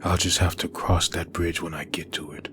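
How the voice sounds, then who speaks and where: A bitter undead antihero broods grimly in a very low, flat voice. It is an inner monologue from a comic dub, with some hiss and ambience behind it. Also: male voice, moderate pace. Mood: defiant.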